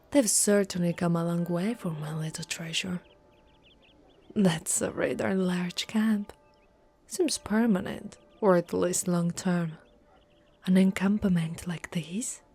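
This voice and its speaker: Slow-speaking, fierce dragon knight played by a woman. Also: lowish voice, warm tone. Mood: brooding, suspicious. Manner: theatrical, formal archaic phrasing, menacing and protective. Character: fierce, devoted female dragon knight guarding a princess. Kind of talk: dramatic ASMR roleplay monologue.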